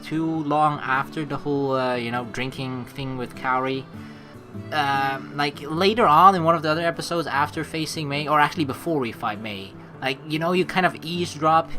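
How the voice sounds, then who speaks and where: A woman's voice: sits low, pushed and bright. A woman hosting a let's play, chatting casually and rambling as she reads game text aloud and reacts to it. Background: game music.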